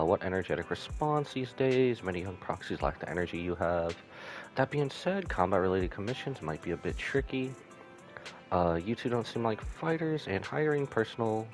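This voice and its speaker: Man reading as a low-voiced, polite game broker. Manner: courteous, businesslike. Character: polite, reassuring male intelligence broker in a game.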